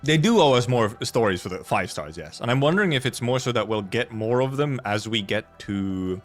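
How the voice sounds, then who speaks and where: A man's voice: mid-range voice, expressive, brisk, faint music behind. A man chatting casually on a game livestream, rambling and repeating himself as he talks. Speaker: game streamer.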